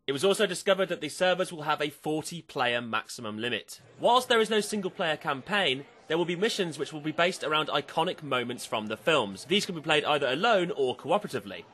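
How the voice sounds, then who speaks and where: A man's voice: on the high side, sharp tone, slightly rough. A man reading an enthusiastic, playful scripted news report as presenter of a video game channel.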